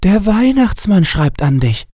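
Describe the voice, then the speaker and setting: A man voicing a polite, fussing governess with an excitable manner in a storybook reading. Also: high-pitched, measured pace, no room sound, studio quality, voice pushed up, heavily acted. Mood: excited, curious. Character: polite, fussing young governess, attentive to child.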